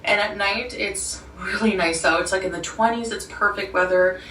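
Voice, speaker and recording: A young woman chats to her viewers in a loose, rambling way, tossing in fillers and little laughs. Her mid-pitched voice bounces around a hard room and sits a step back from the microphone. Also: fairly even intonation, normal speed, sharp tone, reverberant, distant mic, slight background noise, low-quality recording. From Ottawa, Canada. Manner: chatty, rambling, with frequent "like" and small laughs. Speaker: vlogger. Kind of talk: sit-down talk vlog.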